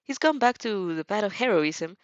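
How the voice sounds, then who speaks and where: Female fan fiction narrator, expressive pitch, slightly stumbling phrasing, muffled recording. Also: normal speed, dry recording. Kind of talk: animated second-person story narration.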